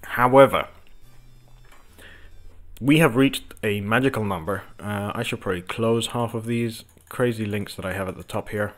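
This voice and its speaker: A man hosting a casual livestream from his home office, croaky from just waking up, rambling with lots of ums as he talks to viewers and the chart. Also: on the low side, moderate pace, a bit bright. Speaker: crypto livestream host. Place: home office with window open.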